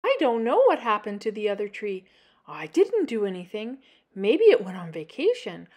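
A woman's voice: mid-range voice, sing-song, normal speed, darkish tone. A woman playing an anxious, defensive little male porcupine from a children's storybook, speaking in a dramatic, emphatic way. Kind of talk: children's picture-book character voice.

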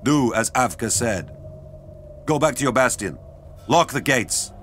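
An actor playing a stern, resolute warrior leader in an audio drama. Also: male voice, medium pitch, animated, a little slow, a bit bright, slight background noise.

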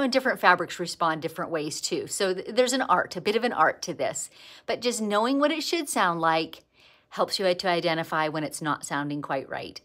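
Chatty Canadian woman on a livestream. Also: fairly low pitch. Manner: chatty, warm greetings to viewers, lively bursts of excitement. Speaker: quilting teacher and livestream host. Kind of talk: casual livestream chat. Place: indoor sewing studio.

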